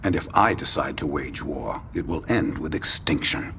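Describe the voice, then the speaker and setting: An actor playing a menacing, sardonic male mutant villain leader in a video game, speaking slowly and deliberately. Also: rumbling low, slight background noise, full character voice. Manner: cold, sardonic, slow and deliberate. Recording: game audio with loud music behind.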